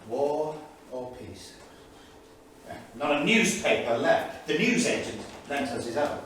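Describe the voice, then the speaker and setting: An actor playing an anguished, indignant 1930s English diarist, emphatic and declamatory, in a staged reading. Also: male voice, a little high, expressive, slow pace, fairly bright tone. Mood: grave, weary. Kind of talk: dramatic staged reading of a journal. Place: small theatre studio. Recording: echoey theatre, distant mic, faint noise.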